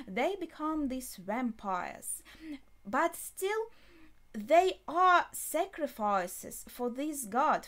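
A young adult woman giving casual, unscripted reaction commentary, thinking aloud with hesitant pauses mid-thought. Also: high voice, fairly bright tone. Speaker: reaction video host. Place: small furnished bedroom, indoors.

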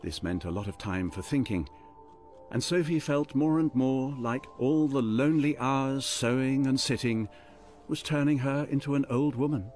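An actor narrating a fantasy tale as storyteller in a scripted radio drama. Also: male voice, mid-range voice, moderate pace, darkish tone, close to an everyday voice. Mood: storytelling. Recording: music under the voice. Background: music.

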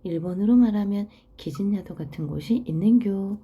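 A woman's voice: mid-range voice, moderate pace, slightly rough, highs cut off, natural voice. A woman reading a story aloud, voicing a weary, overly friendly male laborer of about thirty who speaks with a Gyeongsang accent and an eager, pleading manner. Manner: eager, pleading dialect talk.

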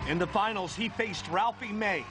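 A male promo narrator with a dramatic announcer delivery. His voice sits fairly high. A loud music bed plays under him. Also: normal speed, over a noisy crowd. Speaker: trailer narrator.

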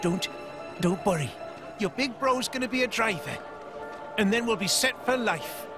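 An actor playing a nervous but determined big brother, a young villager in a video game, earnest and halting. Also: male voice, high-pitched, animated. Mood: reassuring. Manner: hesitant, stammering, earnest. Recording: loud music behind the voice.

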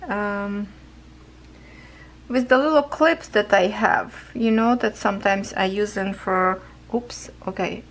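A woman with a hoarse voice, chatting casually as a craft channel host while she stitches beads at home. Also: mid-range voice, a bit bright. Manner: rambling, chatty, loose grammar. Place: at home, seated with canvas on lap.

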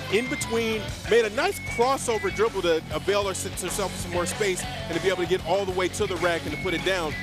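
A middle-aged man analyzing basketball highlights on a headset mic in a studio, often restarting and repeating words as he explains. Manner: halting, repeats words while explaining plays. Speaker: color analyst. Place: studio set. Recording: music bed behind.

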